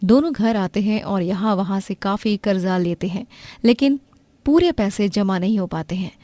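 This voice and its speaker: A woman narrating an animated moral story in a plain, even manner. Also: mid-range voice, moderate pace, no echo.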